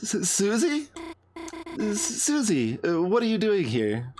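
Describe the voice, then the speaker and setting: A man voicing a timid, sweet teenage girl from a video game, flustered and stammering gently. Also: high-pitched, wildly swooping pitch, slow, soft background music, pitched above the performer's own voice, heavily acted. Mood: startled, flustered. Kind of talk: game dialogue read aloud.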